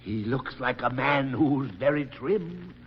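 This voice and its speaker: An actor playing an anxious, excitable male town councillor who exclaims and frets, in 1940s radio acting on a dull old broadcast. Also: medium pitch, slow pace, slightly rough, in a large hall, heavily acted. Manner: jittery, exclaiming.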